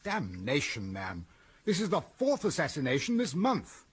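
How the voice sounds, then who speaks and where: Bossy british police chief, raspy and high, really animated, barks orders, radio play. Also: male voice, moderate pace, heavily acted. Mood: blustering, exasperated. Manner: barking, clipped, commanding. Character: blustering, imperious senior police commissioner. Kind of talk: radio drama acting.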